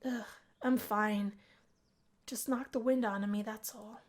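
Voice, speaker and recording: A cocky, friendly young street musician from a visual novel, acted out by a woman. He talks casually and coaxes like a smooth operator. He sounds easygoing and sure of himself. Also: medium pitch, steady tone, unhurried, natural voice. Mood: winded. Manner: casual, coaxing, smooth-talking. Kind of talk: visual novel character voicing.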